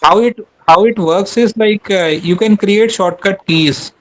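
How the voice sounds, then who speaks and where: A man's voice: high voice, unhurried, slight background noise, rough recording. A man giving a webinar talk, hesitant, with many fillers and restarts. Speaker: guest speaker.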